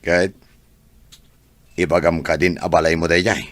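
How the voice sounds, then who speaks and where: A man with an Ilocano accent acting a teasing, nosy young buddy with a husky voice in a radio drama. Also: sits low, level tone, normal speed, a bit bright, no room sound.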